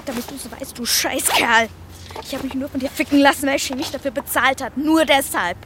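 An actress in a radio drama playing a hurt, defiant young girlfriend, emotional and sharp-tongued. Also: sits high, fairly quick, background noise, natural voice. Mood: spiteful.

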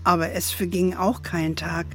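An actress playing a gentle, playful grandmother who tells family history in a measured storybook manner for an audio drama. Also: lowish voice, level tone, close to an everyday voice. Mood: measured, wistful. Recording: music under the voice.

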